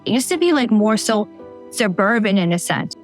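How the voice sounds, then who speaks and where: A woman's voice: medium pitch, very animated, normal speed, soft background music. Candid woman in her forties. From Staten Island, New York. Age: 40s. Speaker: local resident interviewee. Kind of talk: documentary interview.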